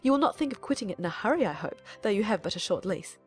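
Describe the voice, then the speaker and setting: Audiobook role: gushing, easily offended middle-aged mother, played by a woman, animated and chatty. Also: medium pitch, quick pace, breathy edge, faint music behind. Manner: effusive, chattering. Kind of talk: dramatized audiobook character reading.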